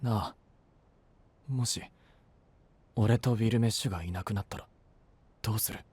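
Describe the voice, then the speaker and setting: A man playing an earnest, self-reproaching young apprentice knight in an anime-style audio drama, with a breathy, husky voice and intense delivery. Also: on the low side, normal speed, darkish tone. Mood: downcast, subdued. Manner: intense, brooding, emotionally swinging delivery.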